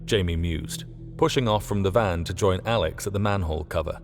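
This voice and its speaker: A man's voice: low voice, brisk. A man reading a scripted audiobook story as its narrator. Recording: long reverb, music underneath.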